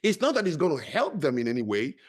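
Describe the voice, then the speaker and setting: A man's voice: on the high side, fast. A middle-aged man speaking as a podcast guest, telling stories and making points with emphasis. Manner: emphatic, animated storyteller. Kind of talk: conversational interview.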